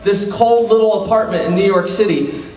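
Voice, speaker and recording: A man's voice: high-pitched, normal speed, a little mellow, far from the mic. A man who directs a school drama club, explaining a tradition to an audience in an auditorium. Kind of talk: speaking to a theater audience. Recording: roomy auditorium heard from afar.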